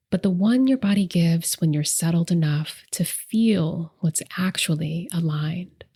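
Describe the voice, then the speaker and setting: A woman leading a guided meditation as host, speaking softly and gently with deliberate pauses, her breathy voice trailing into whispers. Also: lowish voice. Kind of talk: soft, soothing guided meditation narration.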